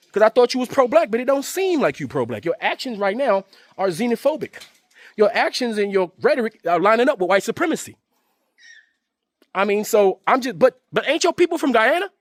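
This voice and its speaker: A man's voice: high voice, dry recording, studio quality. A man hosting a commentary channel, confrontational and slangy, firing off rhetorical questions. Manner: slangy, profane. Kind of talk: rant-style commentary.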